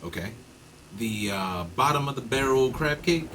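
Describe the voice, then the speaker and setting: A man's voice: medium pitch, animated, moderate pace, a bit bright, slight background noise, natural voice. A man playing a polite, hesitant late-night diner customer in an audio drama. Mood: polite, tentative.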